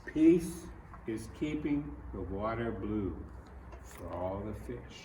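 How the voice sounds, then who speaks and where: A man reading a picture book aloud to children, speaking in simple, deliberate phrases and putting questions to the listener. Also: lowish voice, expressive. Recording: roomy, distant mic, clearly noisy.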